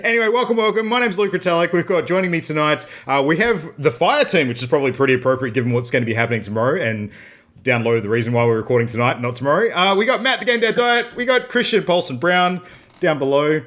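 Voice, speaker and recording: A man's voice: a little high, animated, fast, a bit bright. A man hosting a gaming podcast over a video call, chatting loosely, trailing off and laughing often. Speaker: podcast host. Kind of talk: casual chat.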